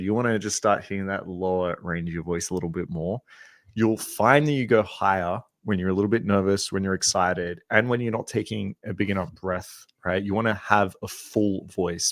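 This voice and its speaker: Deep-voiced man, dry close sound. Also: no room sound. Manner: relaxed, many ums and rights. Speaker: dating coach. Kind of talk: casual podcast chat.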